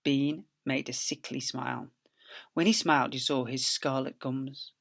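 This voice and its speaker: A man's voice: mid-range voice, fairly even intonation, a little slow, creaky, a bit bright. A man, an infant school teacher, reading a story expressively to young children.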